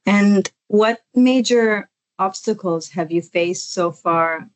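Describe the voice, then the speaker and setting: A woman's voice: mid-range voice, takes it slowly. A woman hosting a podcast interview, asking her guest questions in a polite, encouraging way.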